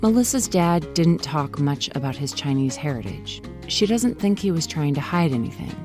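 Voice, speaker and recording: A woman hosting a podcast, narrating a scripted story calmly. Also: low voice, moderate pace, music in the background. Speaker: podcast host.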